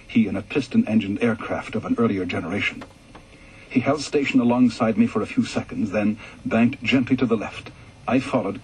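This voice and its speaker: A man reading a ghost story aloud for radio in a measured, calm way, going soft and low in the reflective passages. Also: slight background noise, rough recording. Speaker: story reader.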